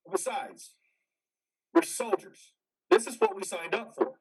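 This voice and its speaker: Man playing a husky, haunted army veteran. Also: sits high, very animated, exaggerated voice, rough recording. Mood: pleading, resisting. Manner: haunted, halting, confessional. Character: exhausted, guilt-ridden suicidal male army veteran. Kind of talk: dramatic play reading.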